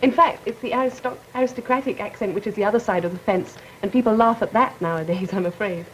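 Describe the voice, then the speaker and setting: A woman's voice: medium pitch, fast talker, a little hiss or hum, low-quality recording. A young woman from England with a cultured English accent, an articulate student debater on a 1950s panel. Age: young adult.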